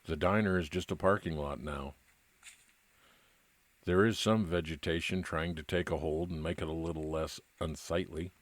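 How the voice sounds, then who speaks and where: Very deep-voiced male private eye played by a man. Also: fairly even intonation, normal speed, no room sound. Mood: reflective. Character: weary, nostalgic male private investigator narrating his case. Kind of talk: old-time radio detective drama narration.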